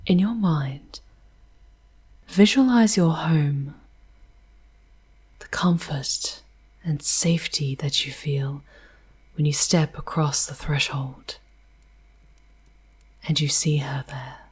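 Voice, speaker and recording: A woman plays a charming demon woman guiding a mortal in an ASMR fantasy drama. Her voice is low and heavily breathy, often sinking toward a whisper. She speaks slowly, in tender, wistful, poetic phrases with formal diction. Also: full character voice. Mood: gentle, coaxing. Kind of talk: ASMR roleplay, soft theatrical narration.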